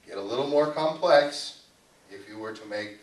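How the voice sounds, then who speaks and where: A man telling stories live to children as a puppeteer, with dramatic, drawn-out delivery. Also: on the low side, expressive. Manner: dramatic pauses and drawn-out repetition, asks the audience questions. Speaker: puppeteer and storyteller. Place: arts center live show. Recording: roomy hall, distant mic, faint noise.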